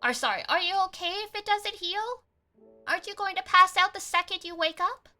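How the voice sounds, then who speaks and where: A woman's voice: very high-pitched, expressive, fairly quick, sharp tone, soft background music, voice pushed up, full character voice. A woman voicing a fussing, cheerful young visual novel heroine with a coaxing, questioning manner. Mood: coaxing, concerned.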